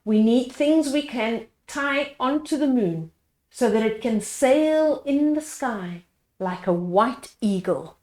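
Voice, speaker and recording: A clever, eager little village girl from a storybook, voiced by a woman reader. Her voice is high and moves up and down expressively. It sounds in an echoey room. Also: animated, takes it slowly, darkish tone. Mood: earnest.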